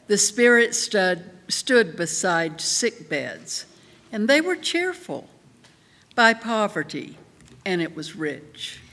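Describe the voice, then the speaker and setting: A woman narrating a story dramatically, with deliberate pauses. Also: medium pitch, sing-song, fairly bright tone. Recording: reverberant hall.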